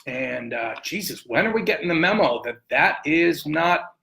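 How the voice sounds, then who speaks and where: Male sports talk host, higher-pitched and gritty, emphatic opinionated riffs with deliberate pauses. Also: expressive, vocal fry, bright, forceful tone. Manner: emphatic, colorful, rambling with dramatic pauses.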